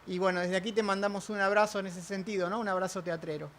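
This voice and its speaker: High-voiced Argentine man hosting. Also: steady tone, fast talker, a bit bright. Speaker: festival host, interviewer. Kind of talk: informal thanks and closing remarks.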